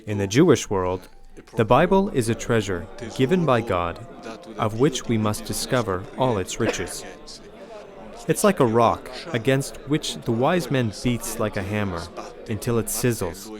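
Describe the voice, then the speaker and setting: A man's voice: on the low side, lively intonation, unhurried, a crowd in the background. A man reading a scripted voice-over translation for a documentary report. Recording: music bed under the voice. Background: music.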